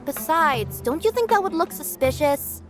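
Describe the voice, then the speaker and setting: Dutiful cat-tailed courier girl, high lively voice, businesslike, music behind. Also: normal speed. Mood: earnest. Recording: game cutscene with clear music.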